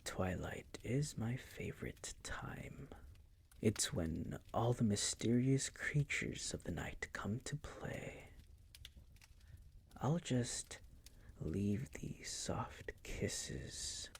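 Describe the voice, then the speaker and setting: A man's voice: lowish voice, animated, exaggerated voice. Man playing a whispery, possessive vampire master. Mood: tender, possessive. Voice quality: breathy, husky, often dropping to a whisper. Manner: slow, teasing, condescending coaxing. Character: possessive, seductive male vampire master, predatory and doting. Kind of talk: intimate roleplay audio acting.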